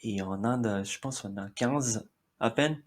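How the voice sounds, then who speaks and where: Guest: thirties, India-born man, Marathi native, lowish voice, hesitant and laughing easily. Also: takes it slowly. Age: 30s. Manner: hesitant, many fillers, frequent short laughs. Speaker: podcast guest. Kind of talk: casual interview chat.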